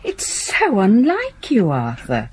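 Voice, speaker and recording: An actress playing a gentle, caring wife who speaks softly and tenderly. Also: female voice, mid-range voice, wildly swooping pitch, husky, heavily acted. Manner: soft, tender, slow. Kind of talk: radio drama acting.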